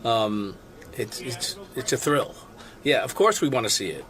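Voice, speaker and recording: Male interviewee, medium pitch, eager rambling answers, casual chat. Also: expressive, a little slow, fairly bright tone. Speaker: cameraman being interviewed.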